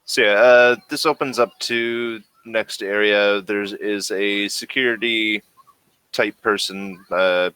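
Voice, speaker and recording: Deep, bright-voiced man running a game. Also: fairly even intonation, slow pace, clear, resonant tone, no echo. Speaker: game master. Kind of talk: casual online tabletop game session.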